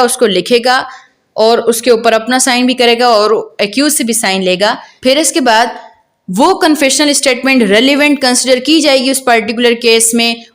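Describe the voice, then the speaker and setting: Female law lecturer, Pakistani accent, higher-pitched voice, didactic delivery that reads passages and then explains them. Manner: didactic, repeats points and checks understanding with questions. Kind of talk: explanatory lecture, reading case law and paraphrasing it.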